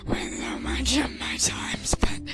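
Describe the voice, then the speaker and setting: A woman guesting on a let's play, reading game text aloud in a casual, halting way, with some hushed phrases. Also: in a whisper. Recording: music playing behind the voice. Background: music.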